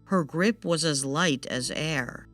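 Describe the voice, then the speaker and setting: A woman's voice: low-pitched, slow pace, a bit bright, faint music behind. A woman narrating a scripted fairytale as a storyteller.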